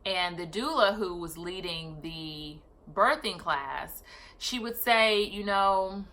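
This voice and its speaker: Chatty low-voiced woman in her thirties. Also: slow, pushed and bright. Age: 30s. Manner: chatty, corrects herself mid-sentence. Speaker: vlogger. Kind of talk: casual personal vlog storytelling.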